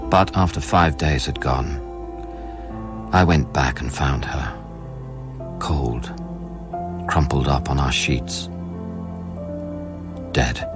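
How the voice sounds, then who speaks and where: Radio drama lead: guilt-ridden scientist on a space station, low register, brooding intimate delivery, prominent music bed. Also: male voice, fairly even intonation, slow pace, pauses often. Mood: grieving, brooding. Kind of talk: radio play acting and first-person narration. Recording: music under the voice.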